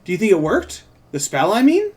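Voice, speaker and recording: Curious boy, played by a man, skeptical, plain questioning delivery, script read-through, somewhat high male pitch. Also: huge pitch swings, fairly quick, a little mellow, recorded from a distance. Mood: hesitant, questioning.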